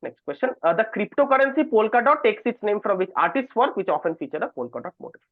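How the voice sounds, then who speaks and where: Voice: medium pitch, big pitch swings, fast talker, no echo. Quizmaster on a thin, phone-like line. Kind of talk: reading quiz questions aloud. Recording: thin phone-like online call audio.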